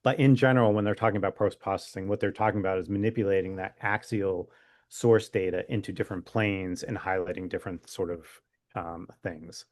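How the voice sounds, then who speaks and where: A man with a fairly low voice talks as a medical expert in an interview. He explains in a conversational, informal way with a wry streak, and the recording is dry with very little room sound. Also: steady tone, fairly quick, darkish tone, no echo. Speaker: physician guest expert.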